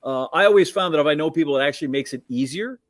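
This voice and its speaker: A man's voice: fairly high pitch, very fast, no echo, clean studio sound. A middle-aged American man hosting casual sports talk, anecdotal and opinionated in his questions. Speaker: sports talk host, interviewer.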